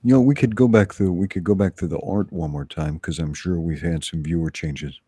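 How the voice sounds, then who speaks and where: A man's voice: sits low, brisk, warm tone, breathy edge, dry recording, clean studio sound. A man co-hosting a livestream with casual, joking banter. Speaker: livestream co-host.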